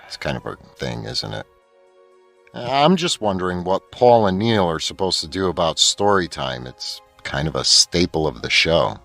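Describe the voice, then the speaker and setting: A man's voice: sits low, lively intonation, moderate pace, gravelly, fairly bright tone, soft background music, exaggerated voice. A man acting a grumbling, sarcastic spaceship crewman in an amateur comedy radio drama, blustering and self-important. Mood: indignant.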